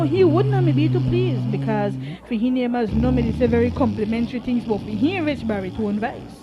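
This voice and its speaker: A woman's voice: a little high, lo-fi sound, lightly acted. A woman with a Belizean Creole accent narrating a folksy animal fable for a radio play. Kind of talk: scripted storytelling for radio play. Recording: faintly noisy live radio recording.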